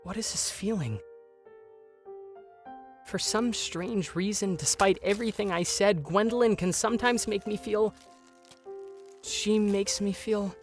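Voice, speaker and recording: A man's voice: high-pitched, unhurried, pauses often, soft background music. A man playing an anxious, bookish young prince in a dramatic fandub, with a hesitant, overwrought delivery. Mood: confused. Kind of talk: dramatic fandub acting with inner-monologue narration.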